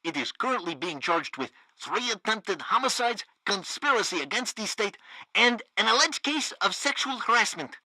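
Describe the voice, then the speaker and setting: A woman's voice: medium pitch, expressive, moderate pace, sharp tone, no room sound, rough recording. A woman playing a smug, flippant radio news host in a satirical game broadcast. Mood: breezy.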